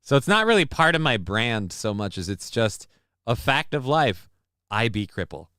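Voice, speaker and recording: A man's voice: mid-range voice, big pitch swings, moderate pace, pushed and bright, clean tone, dry recording, studio quality. A man hosting a casual live stream from a home setup on a desk mic, joking crudely and reading viewer comments aloud. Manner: mock-grand war speech delivery. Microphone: desk microphone.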